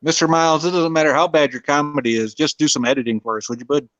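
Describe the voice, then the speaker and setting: A man hosting a stream, bantering loudly with crude, teasing jokes. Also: fairly high pitch, steady tone, quick pace, no room sound, muffled recording. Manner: loud, profane, teasing banter. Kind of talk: casual chat.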